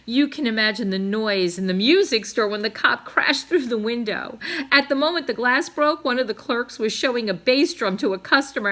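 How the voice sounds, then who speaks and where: Bright-voiced woman reading a storybook aloud. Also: fairly high pitch. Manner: dramatic, with emphasized sound words and brief asides. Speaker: storybook reader. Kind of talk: expressive read-aloud of a children's novel.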